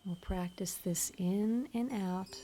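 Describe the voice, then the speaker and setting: Female meditation instructor, soothing and gentle, very relaxed dark tone, taking her time over each instruction. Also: mid-range voice, takes it slowly, a little hiss or hum. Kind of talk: guided meditation narration. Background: soft meditation music with chimes.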